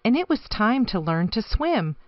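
A woman reading a children's picture book aloud expressively, with deliberate, dramatic phrasing. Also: fairly high pitch, very animated, no room sound, muffled recording. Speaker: storybook reader.

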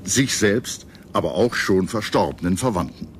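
A man reading scripted history documentary narration in a measured, solemn and dramatic manner. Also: fairly low pitch, lively intonation, slight background noise.

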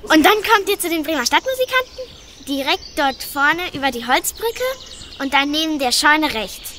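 Woman voicing a chatty young storybook girl, high, bright voice, faint birdsong behind. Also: animated, normal speed, slight background noise. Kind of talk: children's radio play acting.

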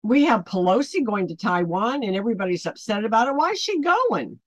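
An older woman with an American accent talks informally to camera as an online ministry host. Her voice sits at a middle pitch. She speaks haltingly, then stresses words slowly for emphasis, in a small room with little echo. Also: sing-song. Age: 60s or 70s. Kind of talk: informal spoken commentary to camera. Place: small home office, indoors.

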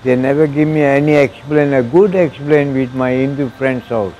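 A man's voice: mid-range voice, fairly even intonation, normal speed, a little mellow, a little hiss or hum, low-quality recording. A man in his sixties with a Malaysian English accent, giving an earnest personal testimony in broken, repetitive English on a lapel mic in an outdoor mosque walkway. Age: 60s. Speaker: interviewee.

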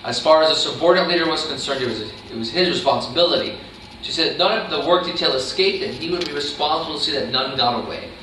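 A man's voice: fairly high pitch, fast talker, bright, forceful tone. A young man playing a matter-of-fact, evasive Nazi camp commandant under interrogation in a staged reading. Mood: matter-of-fact, explaining. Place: meeting room, staged reading at a table. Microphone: far camera microphone. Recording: very echoey room, far microphone, hiss.

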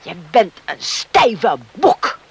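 A woman's voice: on the high side, sing-song, drawn-out words, vocal fry, breathy edge, full character voice. An actress in a radio play as a proud, outspoken middle-aged farm mother, emphatic and indignant in her delivery. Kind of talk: Dutch radio play acting.

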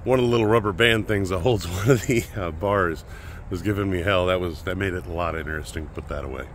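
Lowish-voiced man vlogging on the road. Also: fast, music in the background. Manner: relaxed, rambling, upbeat. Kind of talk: casual road-trip vlog. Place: outdoors and inside a car. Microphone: phone or action camera at arm's length. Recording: faint outdoor and traffic noise.

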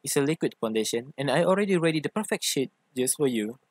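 A man's voice: mid-range voice, animated, quick pace, breathy edge, no echo. A man playing an affectionate, theatrical young boyfriend who teases and coaxes in an ASMR roleplay. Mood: tender, teasing. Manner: theatrical, teasing, coaxing. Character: playful, affectionate, teasing young femboy boyfriend.

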